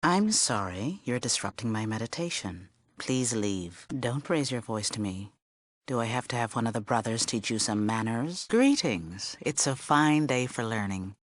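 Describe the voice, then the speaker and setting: A woman's voice: a bass voice, expressive, normal speed, no echo. A middle-aged woman playing a stern, learned scribe in a video game, with a formal, aloof and curt manner. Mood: irritated. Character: stern, learned female scribe of a post-war order, aloof. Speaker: game character. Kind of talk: video game character dialogue.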